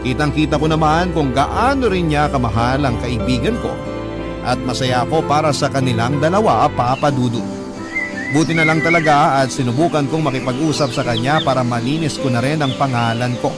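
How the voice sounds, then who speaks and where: Male narrator, mid-range pitch, dramatic confessional storytelling, prominent music underneath. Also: a little fast. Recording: loud music bed under the voice.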